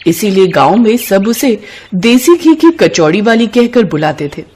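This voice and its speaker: A woman storyteller reads a children's moral tale. Her voice sits at a middle pitch, in a scripted narration style. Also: animated, unhurried, darkish tone.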